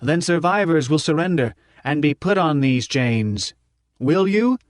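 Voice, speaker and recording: A man voicing a fiery old professor giving a revolutionary speech in an audiobook, declaiming with deliberate pauses. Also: a little high, lively intonation, dry recording, studio quality, voice pushed up. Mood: defiant, rousing.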